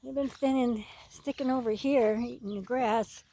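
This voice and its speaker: Higher-pitched folksy woman, rambling outdoors. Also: fairly even intonation, normal speed, warm tone. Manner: folksy, rambling, repeats phrases. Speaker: farm owner filming own geese and ducks. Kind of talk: casual outdoor vlog narration. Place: outdoors on a rural farm, under a tree. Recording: outdoor noise with clicks and birds. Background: geese and bird calls, outdoor noise.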